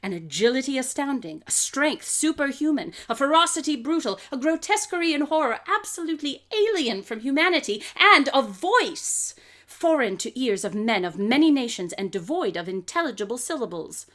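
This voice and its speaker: An actress playing a brilliant, coolly confident detective who lectures with precise, theatrical diction in an audio drama. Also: female voice, sits high, big pitch swings, moderate pace, a bit bright. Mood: intense, dramatic. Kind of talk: live audio drama acting.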